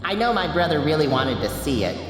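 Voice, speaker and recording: A woman's voice: low-pitched, sing-song, brisk. A woman playing a haughty, easily disgusted young alien student in an audio horror drama, with an exaggerated teenage delivery. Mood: casual, curious. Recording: echoey hall reverb, faint background noise.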